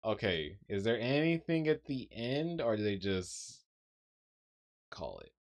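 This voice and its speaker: A man's voice: fairly low pitch, big pitch swings, unhurried, faint music behind. A man streaming live, thinking aloud in a rambling casual way with frequent ums. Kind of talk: casual livestream commentary.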